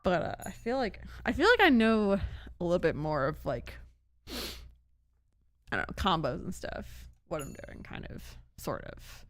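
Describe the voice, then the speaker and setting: A woman's voice: lowish voice, sing-song, unhurried, no echo. A woman chatting casually on a gaming livestream, self-deprecating and girlishly enthusiastic, with game music behind her. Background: video game music.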